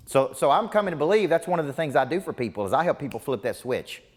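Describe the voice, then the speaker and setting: A man's voice: on the high side, big pitch swings, fast talker, in a large hall. A man in his forties giving a motivational business coaching seminar to a live audience, emphatic and punchy with lots of repetition. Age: 40s. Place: large seminar room before a live audience.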